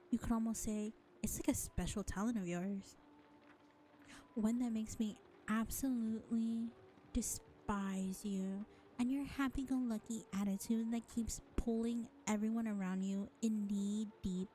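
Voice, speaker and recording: Slow, sarcastic vampire woman, acted out by a woman. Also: on the high side, steady tone, warm tone, slight background noise, lightly acted, rough recording. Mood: sarcastic, scornful. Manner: drawling, mocking, scornful. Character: sarcastic, exasperated, foul-mouthed female vampire. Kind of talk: audio roleplay acting.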